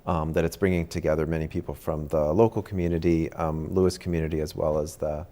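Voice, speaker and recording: A man hosting a campus talk show, speaking in a friendly, halting way with many pauses and ums. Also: a bass voice, fairly even intonation, dark tone. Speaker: host. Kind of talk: casual interview chat.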